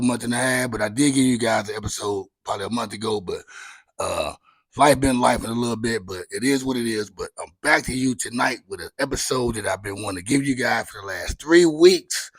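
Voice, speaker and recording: A man hosting his own talk show, chatting casually in a loose, candid way full of slang and swearing, with a raspy voice. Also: mid-range voice, fairly bright tone, no room sound, rough recording. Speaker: podcast host. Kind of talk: casual solo chat.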